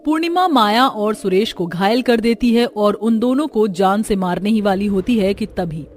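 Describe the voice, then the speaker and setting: A woman's voice: mid-range voice, animated, normal speed, a little mellow. A woman narrating a scripted cartoon story as its storyteller.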